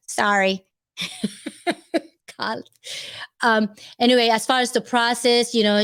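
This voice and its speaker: A woman with a Filipino accent talking casually to her viewers, rambling and stopping often to search for the right words. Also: mid-range voice. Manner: chatty, laughs easily. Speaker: vlogger giving advice. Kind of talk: casual talk to camera.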